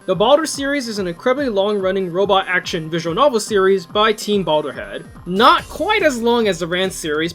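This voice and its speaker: A man's voice: high-pitched, fairly bright tone. A man presenting a scripted video review in an informal, fan-like way. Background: game soundtrack music.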